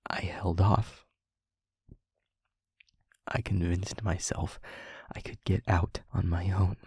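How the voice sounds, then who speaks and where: A man playing an anguished, trapped demon confessing to a loved one in an intimate audio roleplay, speaking haltingly in a breathy, gravelly half-whisper. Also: low-pitched, fairly even intonation, darkish tone, no room sound, studio quality, full character voice. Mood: despairing. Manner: halting, slow, emotionally weighted delivery.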